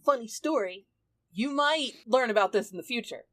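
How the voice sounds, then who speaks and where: A woman's voice: on the high side, expressive, normal speed, no echo. Casual woman's voice, very dry sound. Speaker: podcast cast member and player. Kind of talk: casual banter.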